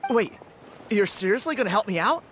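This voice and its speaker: A cocky young dancer. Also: male voice, high-pitched, expressive, a little fast, heavy background noise. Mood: friendly eager. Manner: breezy, laughs often, boastful. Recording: game dialogue with soft music behind.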